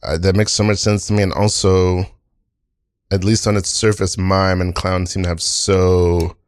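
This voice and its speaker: Guy hosting a comedy pod, deepish voice, dry sound, kinda halting and excited, repeats himself. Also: no room sound. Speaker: improv podcast host. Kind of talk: casual panel chat with comedians.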